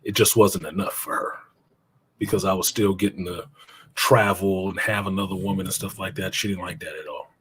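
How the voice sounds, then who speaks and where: A man's voice: low-pitched, fairly even intonation, brisk, fairly bright tone, slightly rough. A middle-aged man speaking as an interview guest, telling his own story in a blunt, plainspoken way and cursing now and then.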